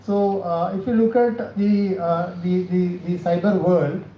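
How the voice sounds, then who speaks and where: A man with a South Indian accent delivers a technical lecture in a very mellow, dark voice. He moves haltingly, restarting phrases and putting questions to his listeners. The room is clearly echoey, with a faint noise behind him. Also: high-pitched, steady tone. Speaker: cybersecurity scientist giving a training session. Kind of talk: spoken lecture to a class. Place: indoor college session room.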